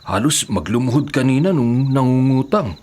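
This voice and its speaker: A man with a Filipino accent playing a worried, soft-hearted older husband with a husky voice in a radio drama. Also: medium pitch, lively intonation, moderate pace, close to an everyday voice. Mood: troubled.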